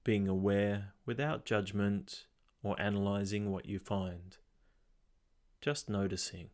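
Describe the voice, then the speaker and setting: A man with a fairly low voice leads a guided meditation. He gives calm, measured instructions and leaves long pauses between phrases.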